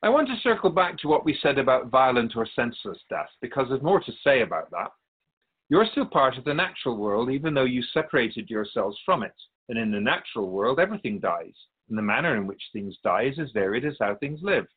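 A man playing a wise otherworldly keeper of souls in a radio drama, speaking in a measured, philosophical, instructive way. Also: medium pitch, sing-song, breathy edge, phone-quality sound. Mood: calm, instructive. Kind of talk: radio drama dialogue in interview form.

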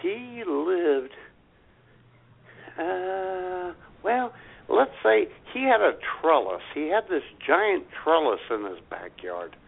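A man's voice: sits high, very animated, slow, gravelly. A man co-hosting a comedy radio show who laughs often and tells stories with relish. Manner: laughs often, eager storyteller. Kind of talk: casual comedic radio banter. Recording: tinny phone-like line.

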